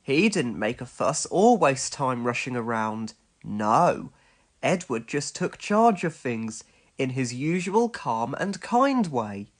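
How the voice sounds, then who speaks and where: A man giving an expressive, scripted narration of a children's storybook read-along. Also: mid-range voice, takes it slowly.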